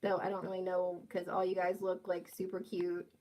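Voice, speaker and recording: A woman's voice: on the low side, monotone, moderate pace, darkish tone, poor audio. A woman in her twenties hosting a casual livestream, reading chat comments and joking playfully with viewers. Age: 20s. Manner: playful, teasing, jokey asides. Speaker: livestream host.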